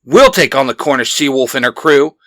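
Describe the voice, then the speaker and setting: A man's voice: medium pitch, lively intonation, fairly quick, a bit bright, slight background noise, close to an everyday voice. A man playing a bold, fiery young pirate captain in an audio drama. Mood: defiant, determined.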